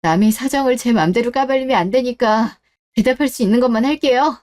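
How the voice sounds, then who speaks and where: High-pitched woman as a firm young wife. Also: fast, darkish tone, natural voice. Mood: indignant, firm. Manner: polite but firm, protesting. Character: indignant, caring young wife standing up for herself. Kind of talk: scripted Korean online radio drama.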